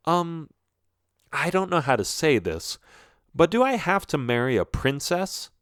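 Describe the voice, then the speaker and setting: A man's voice: a little high, sing-song, measured pace, fairly bright tone, no room sound, studio quality, voice pushed up. A man voicing an earnest, lovestruck young prince in a storybook, sincere and hesitant. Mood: hesitant, pleading.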